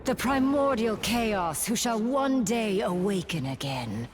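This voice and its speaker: A woman's voice: mid-range voice, lively intonation, slow pace, background noise. A woman playing a cruel, grandiose demon priestess in a video game, with formal, commanding, theatrical speech. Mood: reverent, solemn. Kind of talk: dramatic video game voice acting.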